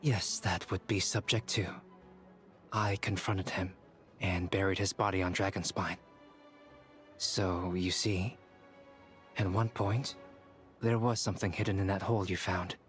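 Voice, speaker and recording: A man voicing a composed, clinical young alchemist in a video game, measured and formal. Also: sits low, fairly even intonation. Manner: measured, matter-of-fact, formal. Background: game music.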